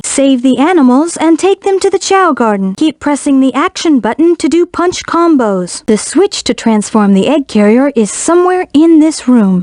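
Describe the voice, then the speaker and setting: An actress playing a gentle young female spirit guide in a video game, giving scripted hints in a measured, earnest, instructive way. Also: high voice, no room sound, studio quality. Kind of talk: scripted game hint narration.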